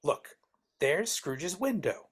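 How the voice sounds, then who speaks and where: A woman's voice: on the low side, expressive, slow, breathy edge, exaggerated voice. A woman playing a self-assured male storyteller who bosses a sidekick around in a live dramatic reading, dry and matter-of-fact. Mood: exasperated, urging.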